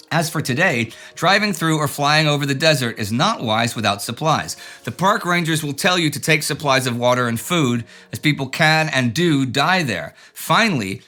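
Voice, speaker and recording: Male host, bright crisp-edged forceful voice, mid pitch, reading letters aloud, dry jokey asides. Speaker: show host.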